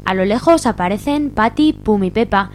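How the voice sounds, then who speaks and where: A woman's voice: mid-range voice, expressive, normal speed, a little mellow. A woman reading scripted narration for a radio soap, with music behind her. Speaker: narrator of a community radio serial.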